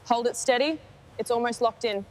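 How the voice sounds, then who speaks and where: A woman's voice: fairly high pitch, normal speed, a little mellow, slight background noise. A woman speaking as a garage mechanic, giving terse procedural call-outs in a businesslike, instructional way.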